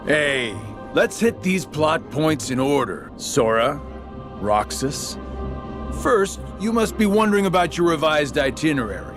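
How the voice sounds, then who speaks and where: An actor playing a smug, taunting middle-aged villain with a sardonic, mockingly playful drawl in a video game cutscene. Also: male voice, fairly high pitch, very animated, unhurried. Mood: smug, teasing. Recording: game soundtrack music behind the voice.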